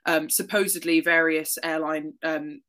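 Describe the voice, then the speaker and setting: A woman guest on a podcast, explaining a news story in a relaxed way with frequent ums. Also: deep voice, measured pace. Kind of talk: casual explanatory chat.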